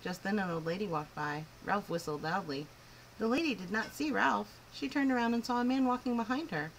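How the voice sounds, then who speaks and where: A woman reading a picture book aloud to children in an expressive, clearly phrased storytelling style. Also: mid-range voice, brisk, a little hiss or hum, rough recording. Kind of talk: animated children's story narration.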